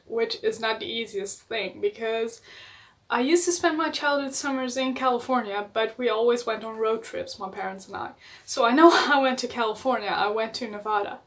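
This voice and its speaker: A woman's voice: a little high, a bit bright. A young woman from the Netherlands, chatting enthusiastically in a casual book vlog and laughing often. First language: Dutch. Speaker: book vlogger.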